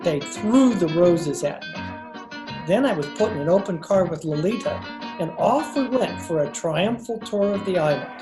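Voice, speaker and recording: A woman's voice: fairly low pitch, lively intonation, normal speed, lightly acted. Woman playing a wry, wisecracking hustler, echoey. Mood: sardonic narrating. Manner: wry, sardonic. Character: wry, wisecracking male hustler telling his own misadventures. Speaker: lead actor and narrator. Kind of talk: old-time radio drama acting and narration. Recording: echoey video-call recording. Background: music.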